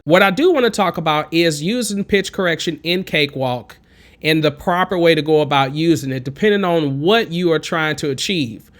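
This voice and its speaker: A man in his thirties with a high, twangy tenor, talking casually through a music production tutorial from a home studio on a condenser mic. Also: a bit bright. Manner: chatty, encouraging, digresses. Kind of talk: casual screen-recorded tutorial. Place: home studio with green screen. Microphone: studio condenser mic with pop filter, wearing headphones.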